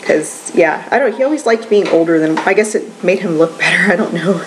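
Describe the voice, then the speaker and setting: A woman's voice: lowish voice, a little fast, background noise. A woman in her twenties chatting casually in a home vlog, rambling with lots of ums and little laughs. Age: 20s. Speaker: vlogger.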